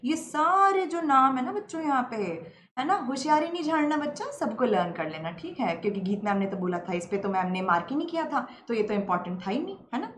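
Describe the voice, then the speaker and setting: A young woman with a sore throat, teaching a lively, teasing online biology class. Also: high voice, fairly quick. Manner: playful, teasing, mixes English terms into Hindi. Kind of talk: lively live-stream lecture. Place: indoor room, green-screen beach backdrop. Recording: slightly roomy with faint ambient hiss.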